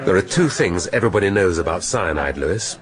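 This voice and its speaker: A man's voice: low voice, expressive, fast, crowd noise. An actor playing a world-weary male chief inspector in a radio drama, with a dry, sardonic, articulate delivery. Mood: explaining, assured. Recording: music behind the voice.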